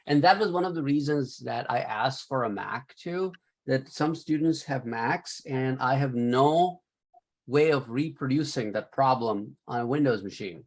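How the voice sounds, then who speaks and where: Man, Pakistani accent, professor, patient step-by-step helper, mid-pitched voice, video call. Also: a little slow. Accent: Pakistani English. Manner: patient, talks a student through tech trouble step by step. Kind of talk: online class talk.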